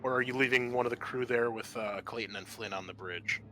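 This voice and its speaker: A man hosting a tabletop roleplaying game as game master, narrating off the cuff and pausing to think aloud. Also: mid-range voice, bright, forceful tone, soft background music.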